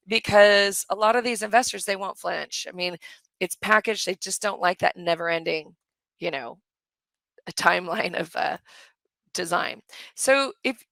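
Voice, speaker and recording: A middle-aged woman with a slightly hoarse voice, hosting a live webinar in a chatty, enthusiastic way and answering questions from the chat. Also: medium pitch, sharp tone, no echo. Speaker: course host on a live webinar. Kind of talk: live webinar sales pitch and Q&A.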